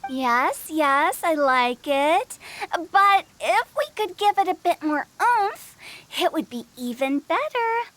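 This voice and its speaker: A woman voicing a playful, quirky teenage girl poet in an animated game, with a teasing, whimsical manner. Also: very high-pitched, takes it slowly, no echo, exaggerated voice. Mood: excited.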